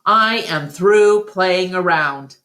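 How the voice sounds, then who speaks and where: Woman reading as a gruff, exasperated male animal trainer. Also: fairly low pitch, slow, bright, forceful tone, roomy sound. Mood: angry, warning. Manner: barking commands, exasperated. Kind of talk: storybook character voice in a read-aloud.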